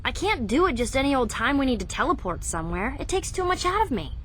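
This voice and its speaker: High-voiced, sensible teenage girl hero. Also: big pitch swings, fast, fairly bright tone, a little hiss or hum. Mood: reproving. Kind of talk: animated video game acting.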